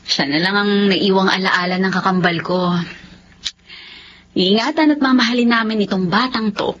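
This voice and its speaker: Actress as kind young Filipino aunt, mid-pitched. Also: female voice, moderate pace, fairly bright tone, natural voice. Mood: warm, devoted. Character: kind, polite young aunt and devoted daughter. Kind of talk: Tagalog radio drama acting. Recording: muffled, faintly noisy radio drama audio.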